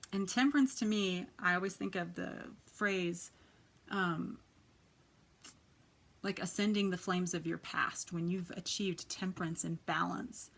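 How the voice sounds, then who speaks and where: A woman in her thirties with a quiet, soft voice, chatting casually as she gives a tarot reading. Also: lowish voice, vocal fry. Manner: chatty, lots of ums and likes. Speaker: tarot reader.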